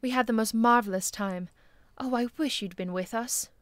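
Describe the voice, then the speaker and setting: A woman's voice: fairly high pitch, moderate pace, dry recording, close to an everyday voice. A woman playing a kindly, gracious bishop's wife in an audio drama, polite and affectionate. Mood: cheerful, affectionate. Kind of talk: film-adaptation audio drama acting.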